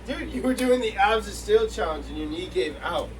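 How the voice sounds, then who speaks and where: A man's voice: high voice, lively intonation, normal speed. A young man hosting and refereeing an amateur backyard wrestling challenge, laughing often and teasing his opponents. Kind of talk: playful amateur wrestling banter. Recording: distant mic with faint noise.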